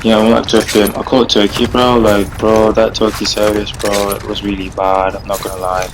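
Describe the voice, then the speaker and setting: Young man, very low voice, flat delivery, rambling vlog story, faint hiss behind. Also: fairly quick. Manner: rambling, fillers like "bro" and "like". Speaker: gaming vlogger.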